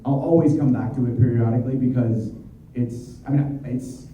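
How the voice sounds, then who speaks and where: A man's voice: mid-range voice, steady tone, moderate pace, warm tone, a little hiss or hum. A man in his thirties answering fan questions at a convention panel in a chatty, rambling way with many fillers and restarts. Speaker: voice actor at convention Q&A. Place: convention hall panel room. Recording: echoey hall from far off, muffled.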